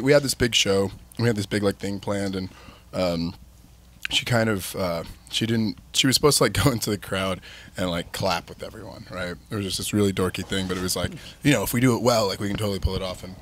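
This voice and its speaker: A man's voice: sits low, fairly quick, a bit bright. A man in his thirties telling his band's story in a candid, rambling way, filled with "like" and "um". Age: 30s. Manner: rambling, heavy use of "like" and "um", candid. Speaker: band frontman, guest. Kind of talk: casual chat.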